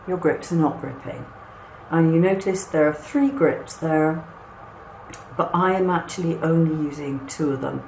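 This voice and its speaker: A woman, hoarse and husky after a cough, chattily walking viewers through a machine step by step as host of a craft channel. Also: sits low, darkish tone. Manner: chatty and reassuring, explains step by step. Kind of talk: hands-on product walkthrough. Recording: echoey room with faint background noise.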